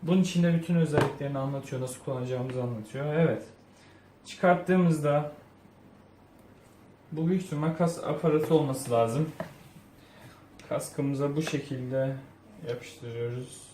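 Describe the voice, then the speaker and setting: A man's voice: mid-range voice, steady tone, moderate pace, long pauses. A man giving a casual unboxing review, thinking aloud in an informal way. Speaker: product reviewer. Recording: roomy echo, faint background noise.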